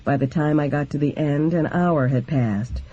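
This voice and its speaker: Higher-pitched man reading aloud, mellow tone. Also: normal speed, dull audio. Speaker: reader of a book. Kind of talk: scripted narration, reading memoir aloud.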